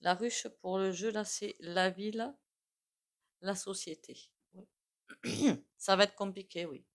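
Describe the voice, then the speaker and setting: A woman giving a casual, rambling tarot reading, full of fillers and frequent throat clearing. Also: mid-range voice, slow pace. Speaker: tarot reader.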